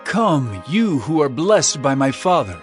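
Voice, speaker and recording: A man's voice: a little high, sing-song, measured pace, faint music behind. A man voicing a grave, authoritative master and king who judges in a declamatory way, in a scripture dramatization. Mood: solemn. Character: stern, commanding male master and judging king.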